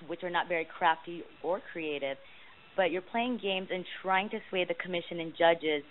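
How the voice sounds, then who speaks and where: A woman reporter asking questions on a press conference call, with a hesitant, rambling delivery. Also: lowish voice. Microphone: phone call. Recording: hissy, narrowband phone conference line.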